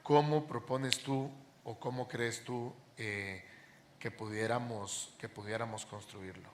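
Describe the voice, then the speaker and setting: A man moderating a live onstage interview, asking questions in a halting way as he searches for phrasing. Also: mid-range voice, fairly even intonation. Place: live event venue. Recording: echoey venue with faint background noise.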